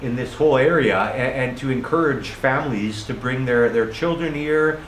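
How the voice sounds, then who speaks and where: A man's voice: medium pitch, normal speed, echoey room, slight background noise, poor audio. A young man from Canada chatting casually and joking, laughing often and repeating himself as he explains a holiday project. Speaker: friend on a vlog. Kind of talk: casual chat.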